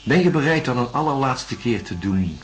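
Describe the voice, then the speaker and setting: An actor playing an insistent, placating psychiatrist in a radio drama, reasoning and coaxing in speech. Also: male voice, medium pitch, animated, normal speed, soft background music, natural voice. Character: male psychiatrist, placating and insistent, defending his methods.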